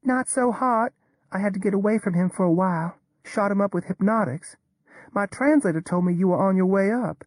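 A woman's voice: mid-range voice, brisk, no echo, close to an everyday voice. A woman brings to life a cynical, world-weary Texan whose drawl stretches every word. Her tone stays level and sardonic, never rising to alarm. The sound is close and dry, with no room around it. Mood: flat, weary. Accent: Texas. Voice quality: drawling, flat neutral tone. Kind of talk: audio drama performance.

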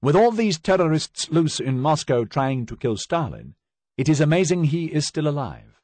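Man putting on a loud stern Soviet prosecutor. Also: on the high side, sing-song, normal speed, no echo, clean studio sound, pitched above the performer's own voice. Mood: accusing. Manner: loud formal accusing speech.

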